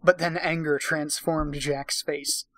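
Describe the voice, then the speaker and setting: A man's voice: fairly high pitch, flat delivery, slow, fairly bright tone, no room sound. A tipsy man hosting a casual comic read-aloud, narrating and breaking off for sweary, sarcastic commentary. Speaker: host, narrator.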